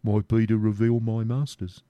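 A man's voice: on the low side, flat delivery, moderate pace, dry recording, highs cut off, natural voice. A man voicing a surly, honest servant who answers doggedly. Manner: dogged, grumbling.

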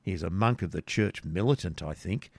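A brusque woodland outlaw, played by a male narrator takes command in blunt archaic English. It is a storybook voice with some gravel, recorded dry. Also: low-pitched, a little fast, dry recording. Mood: stern, questioning.

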